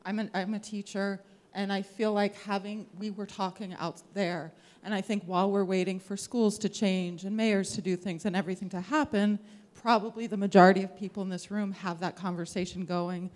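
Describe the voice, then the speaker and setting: A woman's voice: medium pitch, level tone, normal speed, a little mellow. A middle-aged woman, a teacher, making an informal comment from the audience. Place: large theatre hall.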